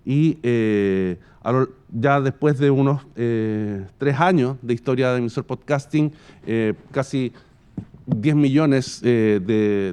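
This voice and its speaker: A man with a Chilean accent giving an explanatory business presentation as a company executive, in formal, halting, deliberate phrases with long winding sentences. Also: mid-range voice, darkish tone, clear, resonant tone. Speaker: radio and podcast company executive presenting a case study.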